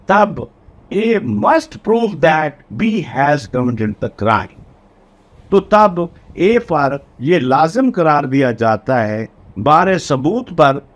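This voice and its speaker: Slow-paced Pakistani male law lecturer. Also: a little high. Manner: didactic, repeats and rephrases key points. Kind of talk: explanatory law lecture mixing Urdu and English.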